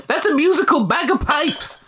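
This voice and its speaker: A man's voice: very high voice, lively intonation, normal speed, a loud crowd. An actor playing a doddery, flustered old male antiques dealer in a comedy radio sketch. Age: older. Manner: flustered, protesting.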